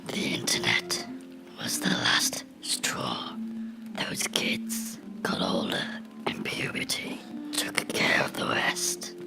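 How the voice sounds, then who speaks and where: A man voicing a bitter, menacing cartoon character in a slow, brooding horror ASMR monologue, whispering in a breathy, gravelly voice. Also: close to an everyday voice. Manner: slow, deliberate, brooding storytelling with dramatic pauses. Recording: whispered with music underneath.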